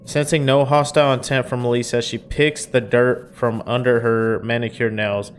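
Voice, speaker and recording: A man's voice: medium pitch, little pitch movement, normal speed, slight background noise. A man reading a visual novel aloud as narration, breaking off for casual commentary.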